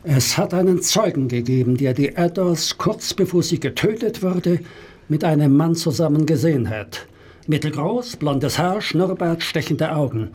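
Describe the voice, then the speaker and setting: A man's voice: a little high, expressive, normal speed, darkish tone, slightly rough. A man acting an assured, wryly commanding police inspector in a German radio play. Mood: businesslike.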